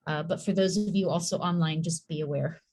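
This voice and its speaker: A woman who leads a school, giving a measured, reflective conference talk in a hall with the occasional soft laugh. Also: low voice, fairly even intonation. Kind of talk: conference presentation.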